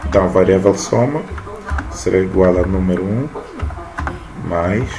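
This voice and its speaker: A man's voice: deep voice, moderate pace. A man in his thirties teaching programming while typing code on screen, often checking in with "tá?". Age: 30s. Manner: talks while typing, frequent "tá?" check-ins. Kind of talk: screen-recorded coding lesson. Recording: noisy room ambience.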